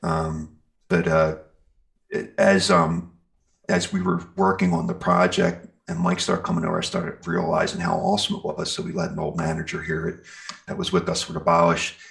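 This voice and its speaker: Middle-aged American male musician, very low gravelly voice, loose conversational interview answers, often searching for words. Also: fairly even intonation, normal speed, fairly bright tone. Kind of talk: casual interview chat.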